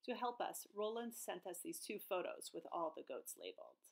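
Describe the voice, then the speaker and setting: A middle-aged woman author presenting to an online audience in a measured, storytelling manner. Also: fairly low pitch, very animated, gravelly. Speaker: children's book author.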